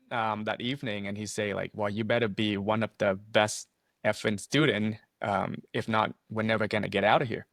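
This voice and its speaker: A man's voice: mid-range voice, fairly bright tone, no echo, studio quality. A man in his thirties, born in Vietnam and speaking English as a second language, a guest on a video call who tells his story earnestly, with frequent laughs, fillers and restarts. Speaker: guest, insurance agency owner. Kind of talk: casual interview storytelling. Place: small home office, indoors.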